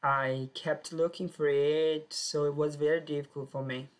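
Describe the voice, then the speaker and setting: A woman telling her own life story in plain, simple sentences with short pauses. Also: deep voice, steady tone. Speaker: personal storyteller. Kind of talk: first-person life story.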